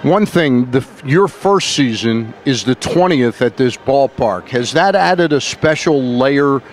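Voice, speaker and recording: A man's voice: mid-range voice, animated, slow pace, slight background noise. A man hosting a sports show, interviewing a guest on location at a ballpark. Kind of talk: sports interview and sponsor reads.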